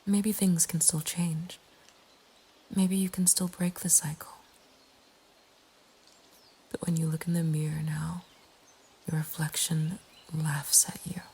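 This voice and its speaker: A woman narrating a scripted horror story in a breathy ASMR whisper, with a hushed, deliberate delivery. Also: low voice, level tone. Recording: faint ambient background noise. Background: soft nature ambience.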